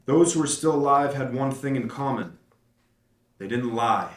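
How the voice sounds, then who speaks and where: A man reading a screenplay part at a table read, playing a calm, detached actor and writer. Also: mid-range voice, moderate pace, echoey room, lightly acted.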